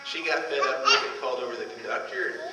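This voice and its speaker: A man performing a folksy, countrified old-time comedy monologue, telling stories with drawn-out setups in homespun phrasing. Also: medium pitch, wildly swooping pitch, loud music behind the voice. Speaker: amateur comedian. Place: large hall in front of a live audience. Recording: echoey hall from far off, noisy.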